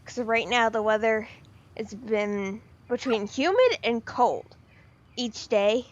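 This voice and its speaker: A woman giving casual, excitable game commentary, rambling with fillers, her voice slightly off from the weather. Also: a little high, slow pace, muffled recording. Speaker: game video creator.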